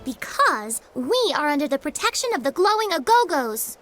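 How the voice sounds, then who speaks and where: An actress playing a composed young guardian in a game cutscene, speaking firmly and calmly. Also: female voice, high-pitched, soft background music. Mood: calm bluff. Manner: calm, firm, measured. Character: calm, composed girl guardian. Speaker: forest guardian. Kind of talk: video game cutscene acting.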